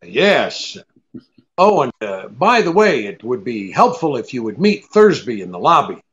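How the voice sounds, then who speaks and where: An actor playing a cool, brisk, sardonic private detective in an old-time radio drama, with a clipped, take-charge manner. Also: male voice, medium pitch, very animated, a bit bright. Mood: wry. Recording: dull lo-fi radio-play recording.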